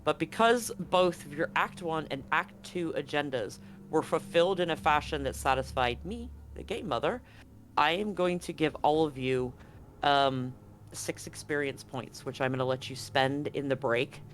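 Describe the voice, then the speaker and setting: A woman's voice: low-pitched, normal speed. A woman running a tabletop roleplaying stream as game master, chatting casually and praising her players in an encouraging way.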